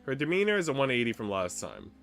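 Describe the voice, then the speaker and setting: A man's voice: medium pitch, huge pitch swings, quick pace, gravelly, fairly bright tone, soft background music. A man streaming a visual novel, reading its narration aloud and chatting with viewers.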